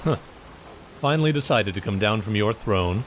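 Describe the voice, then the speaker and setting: A man voicing a sneering street agitator in a grim audio drama. His low voice rises and falls widely as he mocks the people in charge, and rain is clearly heard behind him. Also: lively intonation, normal speed, background noise, close to an everyday voice. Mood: sardonic. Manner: mocking, sardonic and confrontational. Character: defiant male crowd leader, a sardonic man of the people.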